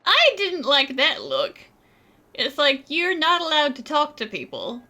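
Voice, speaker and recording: A woman's voice: high-pitched, very animated, a little slow, bright, forceful tone. A woman giving casual, wry commentary while playing a video game. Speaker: gaming channel player.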